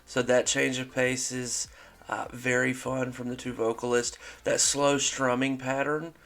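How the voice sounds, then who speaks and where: A man's voice: mid-range voice, level tone, unhurried, a bit bright. A man hosting a music reaction show, chatting casually and upbeat between his comments. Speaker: host of music reaction channel.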